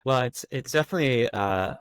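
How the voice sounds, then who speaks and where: A man's voice: mid-range voice, expressive, fairly quick, clear, resonant tone. An American man in his thirties answering interview questions thoughtfully, with many fillers and restarts. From United States. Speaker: guest. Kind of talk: conversational interview.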